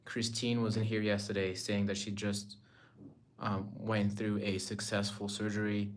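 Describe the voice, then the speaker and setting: A man's voice: fairly low pitch, flat delivery, measured pace. Casual North American male livestreamer. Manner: informal, slangy, repeats short phrases. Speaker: livestream host. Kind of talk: casual chat with live viewers, reading comments aloud.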